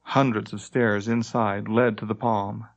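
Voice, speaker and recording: A man's voice: on the low side, little pitch movement, a little slow, gravelly. A man reading scripted fantasy narration as an audiobook narrator.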